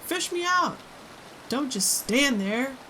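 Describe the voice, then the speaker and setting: A woman's voice: high voice, lively intonation, slow pace, pushed and bright, a little hiss or hum, pitched above the performer's own voice, full character voice. A woman voicing a greedy, heedless young boy from a storybook, full of exclamations. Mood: panicked yelling. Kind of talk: storybook character voice.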